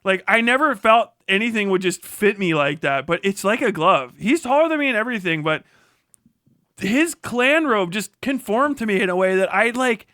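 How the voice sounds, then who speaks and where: A man's voice: sits high, lively intonation, normal speed, pushed and bright, dry recording, clean studio sound. A man mockingly voicing a gushing, oblivious female internet personality who rambles breezily on a livestream. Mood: mock gushing.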